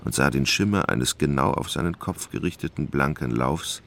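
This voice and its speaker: A man narrating a literary radio drama in a measured, dramatic way. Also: extremely low pitch, animated, vocal fry, a bit bright, a little hiss or hum.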